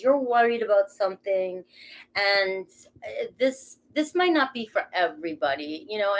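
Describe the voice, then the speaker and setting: A middle-aged woman with a Boston accent giving a casual, rambling tarot reading, swearing casually as she talks. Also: medium pitch. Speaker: tarot reader.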